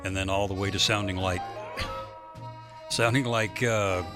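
A man's voice: low voice, fairly even intonation, measured pace, over music. A man working as a radio announcer, chatting casually with a dry, joking manner.

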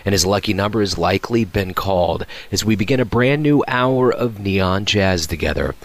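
A male jazz radio host with a lowish voice speaks in a smooth, unhurried announcer style. He reads his scripted introductions from a radio studio. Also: animated.

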